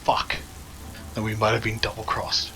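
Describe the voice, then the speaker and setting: An actor playing a cold, controlled criminal go-between in a noir audio drama, formal, curt and disdainful. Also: male voice, lowish voice, completely flat delivery, moderate pace, noisy background, close to an everyday voice. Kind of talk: scripted audio drama dialogue.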